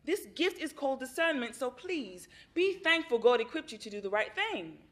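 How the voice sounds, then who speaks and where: An actress playing a calm, encouraging female therapist who speaks in a measured, reassuring way in a stage play. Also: sits high.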